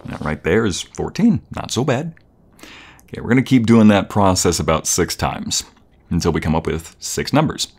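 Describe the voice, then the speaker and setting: Man playing a low-voiced, animated dorky boyfriend. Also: moderate pace, slightly rough, exaggerated voice. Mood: enthusiastic, explaining. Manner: playful, teasing, enthusiastic, stammering and giggly. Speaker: audio roleplay performer. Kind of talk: intimate boyfriend roleplay, casual improvised-sounding chat.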